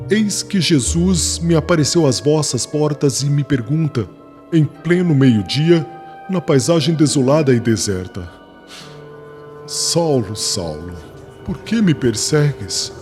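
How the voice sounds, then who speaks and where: An actor with a Brazilian accent playing a fervent young former rabbi who preaches in a solemn, formal, declamatory way for a dramatized audiobook. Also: male voice, mid-range voice, darkish tone, close to an everyday voice. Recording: music bed under the voice.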